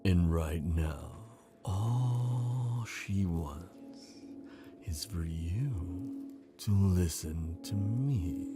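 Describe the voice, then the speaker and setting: Man playing a slow, deep, husky scheming prince. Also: expressive, music in the background, exaggerated voice. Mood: coaxing, commanding. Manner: slow, intimate, theatrical villain delivery. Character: smooth scheming traitor prince, gloating and seductive. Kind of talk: fantasy ASMR audio roleplay.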